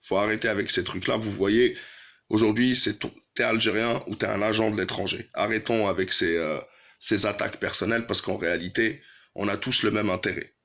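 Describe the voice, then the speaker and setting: A man from Algeria giving unscripted, outspoken political commentary to his followers, in a halting, opinionated way with phrases repeated for emphasis. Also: on the low side, fairly even intonation, fairly bright tone.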